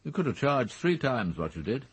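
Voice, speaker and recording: An actor playing a blunt titled English gentleman with an upper-class accent and clipped manner in a radio drama. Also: mid-range voice, big pitch swings, normal speed, breathy edge, no room sound, heavily acted. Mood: blunt, businesslike. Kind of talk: British radio drama acting.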